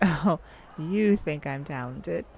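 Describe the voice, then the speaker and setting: Woman voicing a slow, bashful male lounge singer. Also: sits low, lively intonation, slight background noise, heavily acted. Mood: flattered, flirty. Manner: hesitant, soft, intimate, sighing. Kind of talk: ASMR roleplay.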